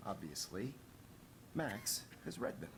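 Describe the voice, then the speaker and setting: A man's voice: lowish voice, big pitch swings, slow, gravelly, background noise, exaggerated voice. A man playing a smug, condescending art teacher in a video game, with a theatrical, lecturing manner and a menacing edge. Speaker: photography teacher.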